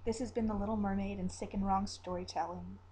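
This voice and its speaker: A woman storyteller reading a dark, dramatic tale as scripted narration. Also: mid-range voice, fairly even intonation, a little fast, a little hiss or hum, poor audio.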